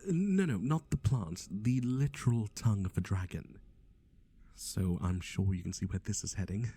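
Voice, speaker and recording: A chatty, teasing matchmaker, acted by a man in an ASMR roleplay. His voice sits on the low side and has a soft, dark warmth. The delivery is theatrical yet conversational, with very lively rises and falls. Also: breathy edge, exaggerated voice. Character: chatty, playful male matchmaker for obsessive yandere boyfriends.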